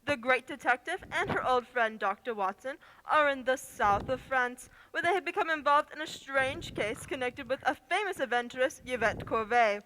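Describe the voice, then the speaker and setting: A woman's voice: high voice, expressive, moderate pace, hardly any pauses, sharp tone. A woman announcer narrating a scripted recap for a radio play. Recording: long hall reverb, faint noise and clicks.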